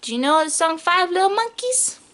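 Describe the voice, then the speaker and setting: A woman's voice: very high-pitched, a little fast. A woman teacher reading a picture book aloud to young children, talking straight to them with simple questions and playful emphasis. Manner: animated, questioning, speaks directly to small children.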